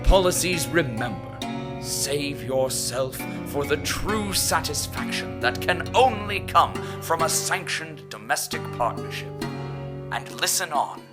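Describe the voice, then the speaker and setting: Man voicing a pompous company announcer, slow, formal, mid-pitched, loud guitar music behind. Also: expressive. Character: smooth corporate propaganda announcer, pompous man. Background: plucked guitar music.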